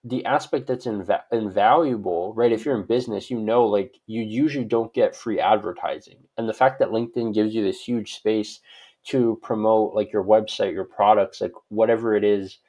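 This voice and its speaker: A man's voice: lowish voice, moderate pace, darkish tone. A man from Hawaii answering conversationally as an expert guest in an interview, rambling and often adding "like" and "right?". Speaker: guest expert on social media profiles.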